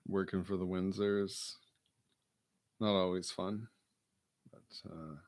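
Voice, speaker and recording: A man with a gravelly baritone, rambling through a late-night solo broadcast with mumbled, self-deprecating asides. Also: little pitch movement, slow, dry recording.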